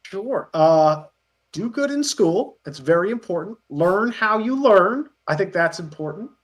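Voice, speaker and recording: A man's voice: high voice, lively intonation, measured pace. A man in his thirties chatting casually as an interview guest, telling rambling, chuckly stories. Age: 30s. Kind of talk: casual chat.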